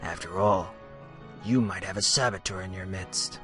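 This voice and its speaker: A man's voice: low-pitched, moderate pace, over music, natural voice. A man in a radio play voicing a pompous, menacing pony reporter who digs up dirt ruthlessly. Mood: cold, menacing. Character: ruthless, self-important male pony muckraking reporter, villainous.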